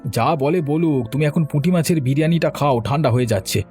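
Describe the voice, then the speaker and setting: A man voices a village uncle in a cartoon drama. He is good-natured, with a brisk, teasing, reassuring way of talking. There is faint music in the background. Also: on the high side, dark tone, close to an everyday voice. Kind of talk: Bengali cartoon dialogue acting.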